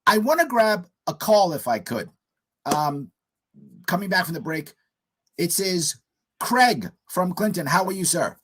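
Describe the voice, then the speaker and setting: A hoarse man hosting a call-in radio talk show, giving emphatic political commentary in short punchy statements. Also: high voice, very animated, measured pace, long pauses, fairly bright tone, a little hiss or hum. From United States.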